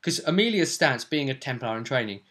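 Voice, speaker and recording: A British man casually recounting a tabletop role-play session as game master, acting out what the players said in an animated way. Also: medium pitch, brisk.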